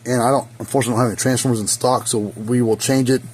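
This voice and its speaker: A hoarse man giving a casual, rambling how-to repair demonstration in his hot workshop, with lots of fillers. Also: medium pitch, fairly even intonation, very fast, darkish tone. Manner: rambling, folksy, many fillers. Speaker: fence energizer repair technician. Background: faint hum of a small fan.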